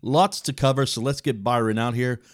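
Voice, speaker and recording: A man hosts his podcast and reads the intro with an upbeat, announcer-like delivery before asking his guest questions. His voice sits at a middle pitch, and the sound is dry and close. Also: normal speed, dry recording. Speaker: podcast host. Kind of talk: scripted intro and interview questions.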